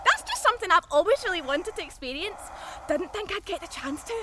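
A woman's voice: very high-pitched, sing-song, quick pace, background noise. A woman acting in a horror radio play as an unhinged fifteen-year-old girl who is mocking, volatile and menacing. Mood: morbid, gloating.

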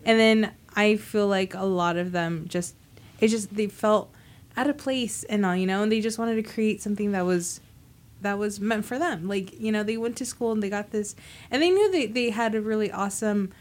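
A woman's voice: mid-range voice, moderate pace. A young woman, sick at the moment, chatting on a podcast and often searching for her words. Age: young adult. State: sick, under the weather. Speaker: podcast co-host. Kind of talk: casual chat.

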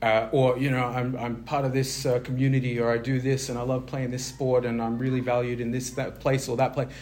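A man's voice: medium pitch, level tone, a little fast, darkish tone, a little hiss or hum. A man preaching an informal sermon, talking conversationally with many fillers and restarts and asking his listeners questions.

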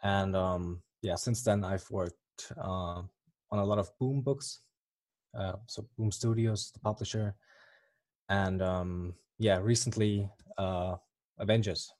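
A man's voice: low-pitched, fairly even intonation, slow pace, a little mellow, no echo. A young man from Germany with a German accent, a comic artist answering interview questions in a hesitant way, with many ums and restarts.

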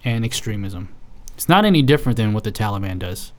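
An American man from San Francisco speaking as a podcast guest, opinionated and deliberate, often searching for his words. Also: on the low side, expressive. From San Francisco, United States. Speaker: podcast guest, healthcare provider. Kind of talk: opinionated casual discussion.

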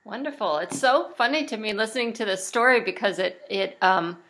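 Bright-toned, halting woman. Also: medium pitch. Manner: halting, many fillers and restarts, laughs often. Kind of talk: casual podcast conversation.

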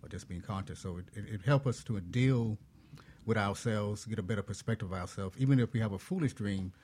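A man with a low voice speaks as an expert guest on a talk show. He explains in a measured, philosophical way, pausing as he works through each thought. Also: darkish tone, slightly rough.

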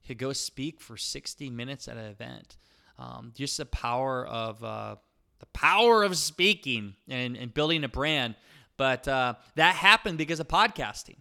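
A young man with an Iowa accent, hosting his own podcast and giving an energetic, emphatic motivational talk. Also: medium pitch, moderate pace, gravelly. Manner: energetic, emphatic, punchy repetition. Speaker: podcast host.

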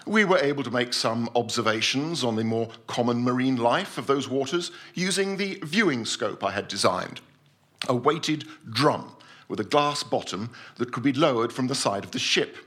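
A male actor performs a self-important gentleman scientist giving his formal report of a sea voyage. His voice is husky, with a very animated rise and fall. He is grandiose and deliberate, in the manner of a theatrical short story read on stage. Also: mid-range voice. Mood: boastful, proud. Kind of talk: dramatic first-person short story reading at a live night.

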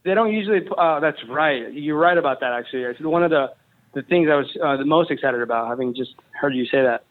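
A man in his thirties from Mexico tells his story in a quick, animated flow, keen and ready with a joke. His voice sits a little high for a man. He comes through a tinny, phone-like line. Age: 30s. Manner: enthusiastic, jokey storyteller. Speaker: guest, company founder and Army veteran. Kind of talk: casual interview chat.

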